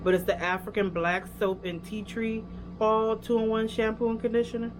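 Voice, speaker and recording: A woman's voice: a little high, little pitch movement, normal speed, noisy background. A young woman with a Louisiana accent, casually reviewing hair products and chatting straight to her viewers with lots of fillers. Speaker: hair care vlogger.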